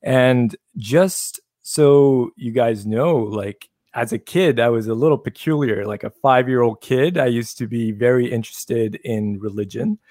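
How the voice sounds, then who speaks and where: Male guest from Pakistan, measured storyteller, medium pitch, dry close mic. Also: darkish tone, no room sound. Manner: thoughtful, measured, many fillers. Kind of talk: casual interview storytelling.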